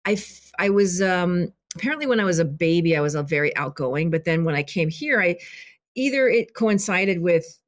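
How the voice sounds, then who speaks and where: A woman's voice: on the low side, animated. A woman whose first language is Arabic, a filmmaker and author speaking as a podcast guest, talking reflectively with many restarts. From grew up in Kentucky, USA. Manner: reflective, halting, many restarts and "you know". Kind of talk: casual interview conversation.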